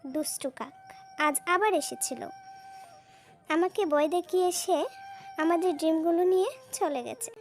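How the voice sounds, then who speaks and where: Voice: very high-pitched, unhurried, long pauses. A performer voicing a small, anxious female bird in a cartoon story, with a plaintive manner. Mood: pleading, sad. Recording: music behind the voice.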